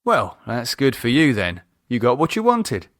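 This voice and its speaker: A man's voice: medium pitch, huge pitch swings, normal speed, fairly bright tone, no room sound, clean studio sound. A man voicing a sullen, sardonic English brother in an audiobook, sceptical in manner. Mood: unenthusiastic. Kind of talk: audiobook character acting.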